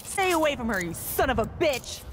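An actress playing a tough, foul-mouthed special forces fighter in video game banter, blunt and combative. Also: female voice, sits high, very animated, moderate pace. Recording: loud background noise.

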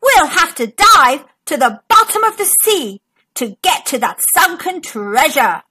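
An excitable pirate captain from a storybook, acted out by a woman, bold and commanding. The voice is high, bright and very lively, with emphatic delivery. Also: unhurried, slight background noise, heavily acted.

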